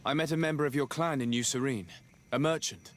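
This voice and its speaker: An actor playing a polite, earnest young diplomat in a video game, speaking formally and with composure. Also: male voice, medium pitch, fairly quick, a little hiss or hum, lightly acted. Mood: composed, formal. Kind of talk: video game character dialogue.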